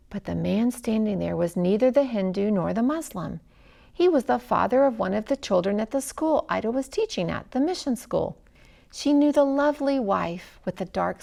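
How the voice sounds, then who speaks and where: A woman reading a story aloud as scripted narration, in an expressive, dramatic way with hushed phrase endings. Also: on the high side, gravelly.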